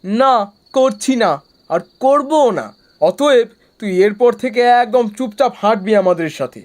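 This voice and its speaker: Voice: mid-range voice, lively intonation, measured pace, darkish tone, close to an everyday voice. An actor playing a brash young man in a horror cartoon, bossy and mocking with his friends. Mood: irritated, scolding. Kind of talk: animated horror cartoon dialogue.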